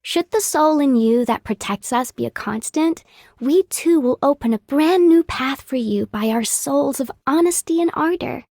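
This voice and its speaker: A woman's voice: high voice, normal speed, darkish tone, dry recording. A woman voicing a solemn, reverent young mystic in a video game, speaking in formal, archaic phrases. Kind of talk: video game character voice lines.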